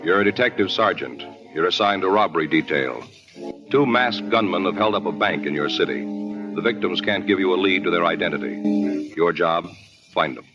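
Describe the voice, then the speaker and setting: An actor as a solemn, clipped announcer giving grave scripted narration on a 1950s radio drama. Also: male voice, low-pitched, expressive, moderate pace. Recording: muffled 1950s radio recording, loud music.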